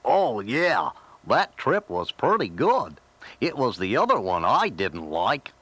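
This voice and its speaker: A man's voice: medium pitch, huge pitch swings, a bit bright, dry recording, full character voice. A man voicing a gangly, dim-witted but good-natured cartoon dog with a throaty drawl, in a storybook read-along. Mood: dopey. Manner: bumbling, slow, swooping delivery. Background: music.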